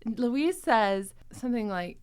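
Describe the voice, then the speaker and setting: A woman hosting a podcast in casual chat, retelling bits in an animated, giggly way. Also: a little high, takes it slowly, clear, resonant tone. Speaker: podcast host. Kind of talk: casual chat about a comedy drama podcast.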